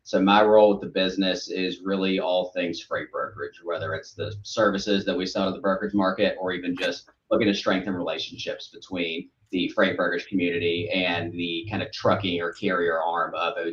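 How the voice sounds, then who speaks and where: A man's voice: low voice, a bit bright. A man with a light Southern accent, a guest on a podcast, speaking in an upbeat, friendly way and explaining in long flowing lists. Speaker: guest, brokerage executive. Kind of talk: casual podcast interview. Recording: echoey, dull remote audio.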